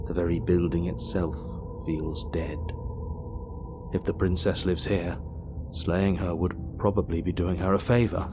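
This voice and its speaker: Dry calm game narrator, steady and measured, music in the back. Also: male voice, sits low, gravelly. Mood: ominous. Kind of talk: scripted narration. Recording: game audio with music behind.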